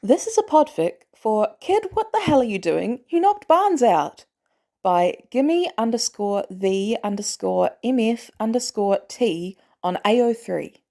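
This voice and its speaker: A woman's voice: on the high side, very animated, a little slow, mellow. A woman reading scripted fan fiction aloud as a narrator. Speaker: podfic narrator.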